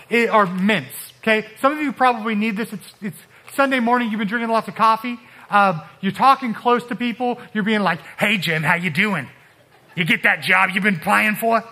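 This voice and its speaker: A man preaching a casual sermon in a high, bright, forceful voice. He talks loosely, with many fillers, and often acts out what people say. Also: poor audio. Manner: casual, animated, with frequent fillers and acted-out quotes. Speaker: pastor.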